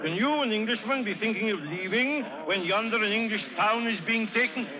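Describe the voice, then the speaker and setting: An actor playing a haughty, loyal English lord and royal envoy, formal and pompous, in old radio drama style. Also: male voice, high-pitched, brisk, lightly acted. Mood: indignant. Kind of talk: 1930s radio drama acting. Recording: muffled old radio broadcast, crowd noise.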